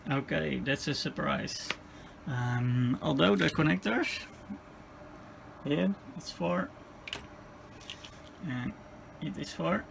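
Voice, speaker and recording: A man giving a hands-on repair demonstration, muttering haltingly and tentatively through each step as he thinks aloud. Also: a little high, gravelly, a little hiss or hum. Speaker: host of a radio repair video. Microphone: handheld camera.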